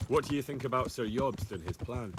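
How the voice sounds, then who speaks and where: Man acting wry young medieval commoner, mid-pitched. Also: a little fast, background noise, close to an everyday voice. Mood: disenchanted. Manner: wry, down-to-earth. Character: young blacksmith-raised bastard, loyal, wry, plain-spoken. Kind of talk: medieval video game cutscene acting.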